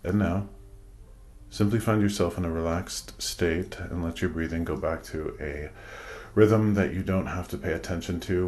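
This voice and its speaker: A man leading a guided meditation, giving soothing instructions in measured, deliberate phrases with pauses. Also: sits low, darkish tone, a little hiss or hum.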